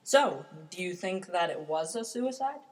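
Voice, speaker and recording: A woman's voice: fairly low pitch, lively intonation, measured pace, a little hiss or hum. A woman playing a dutiful male detective in an amateur old-time radio mystery. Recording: distant from the microphone.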